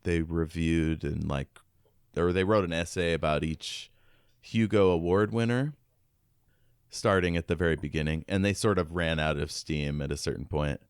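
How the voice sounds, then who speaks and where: A man co-hosting a podcast, chatting casually as a fan in an easygoing way, with halting phrasing. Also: sits low, clean tone, dry recording, studio quality. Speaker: podcast co-host. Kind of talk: casual sci-fi fan chat.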